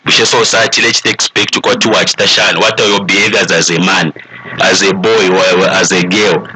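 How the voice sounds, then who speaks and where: A man with a Zambian accent speaking as an expert panelist, explaining deliberately and switching between two languages. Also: low-pitched, fairly even intonation, bright, forceful tone, poor audio. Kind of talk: explanatory talk mixing English and Bemba.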